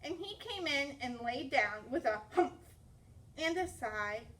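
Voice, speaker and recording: High, animated woman reading a children's story. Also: recorded from a distance, poor audio. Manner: expressive, deliberate. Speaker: first grade teacher reading aloud.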